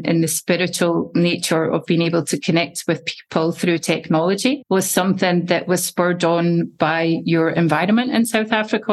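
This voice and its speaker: Woman host asking long winding questions. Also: lowish voice. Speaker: podcast host. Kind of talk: podcast interview.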